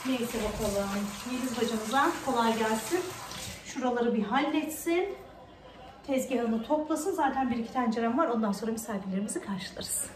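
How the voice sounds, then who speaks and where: High-voiced chatty woman in an echoey home. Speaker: vlog host. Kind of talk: casual home vlog chat. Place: indoors at home, kitchen and living room. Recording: roomy echo, distant mic, faint noise.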